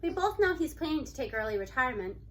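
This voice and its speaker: A woman's voice: high-pitched, big pitch swings, fast talker, a bit bright. A woman voicing a sympathetic, loyal female school principal in a storybook, earnest and persuasive.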